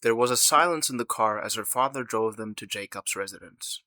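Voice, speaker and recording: Male narrator for audio drama, lowish but crisp bright voice, super dry sound, scripted read. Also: brisk, no room sound.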